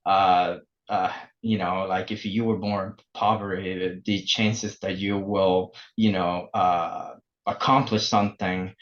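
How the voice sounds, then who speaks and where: A man in his forties from El Salvador, speaking English, telling his story as a podcast guest with many pauses and fillers. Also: deep voice. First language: Spanish. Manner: halting, many fillers and restarts. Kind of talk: casual interview. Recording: muffled lo-fi remote audio.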